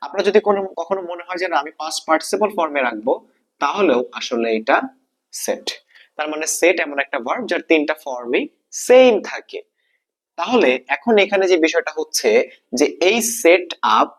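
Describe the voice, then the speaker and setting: A man with a Bangladeshi accent teaching an exam-prep grammar lesson, repeating key points and asking checking questions. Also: on the high side.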